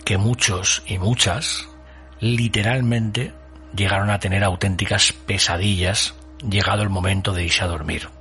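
A man hosting a radio show with scripted, atmospheric narration, solemn and deliberately phrased with dramatic pauses. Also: deep voice, fairly even intonation, pushed and bright, faint music behind.

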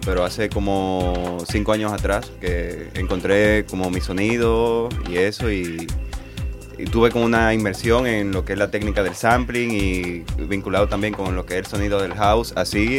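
Low-voiced Dominican man over loud music. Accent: Dominican Spanish. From Dominican Republic (Santo Domingo). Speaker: interview guest, DJ and music producer. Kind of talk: casual interview answers. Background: music playing behind the talk.

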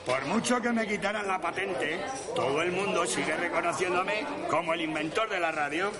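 A high-pitched, boastful and touchy male inventor, played by an actor in a comic radio play. Loud crowd chatter surrounds the voice. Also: moderate pace, close to an everyday voice. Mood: boastful, annoyed. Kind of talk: comic radio drama acting. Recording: noisy crowd behind.

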